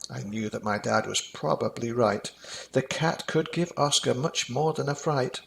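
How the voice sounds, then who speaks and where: Gravelly older man reading a rhyming story. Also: mid-range voice, measured pace, slight background noise, low-quality recording. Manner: expressive, dramatic. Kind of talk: scripted rhyming children's story narration.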